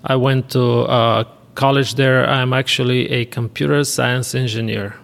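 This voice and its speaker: A man with a Bulgarian accent chatting casually as an interview guest, speaking in halting, hesitant phrases. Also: mid-range voice, steady tone, gravelly. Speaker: podcast guest, real estate investor. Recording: reverberant, hall-like room.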